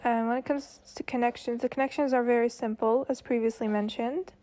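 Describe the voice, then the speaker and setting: A woman's voice: on the high side, steady tone, brisk, warm tone. A woman giving an informal explanatory project presentation, hesitant and often repeating herself as she goes.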